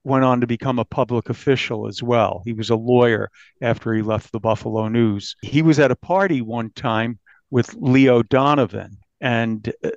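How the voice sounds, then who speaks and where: A man's voice: medium pitch, normal speed, no room sound, lo-fi sound. An American man recounting his investigative reporting conversationally as an interviewed journalist.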